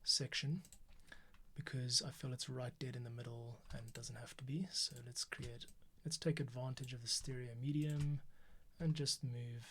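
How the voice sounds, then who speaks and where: A man's voice: mid-range voice, fairly even intonation, normal speed, a bit bright, slight background noise. Man muttering through a casual editing tutorial. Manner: muttering to himself while working. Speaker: podcast editor giving a tutorial. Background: keyboard typing and mouse clicks.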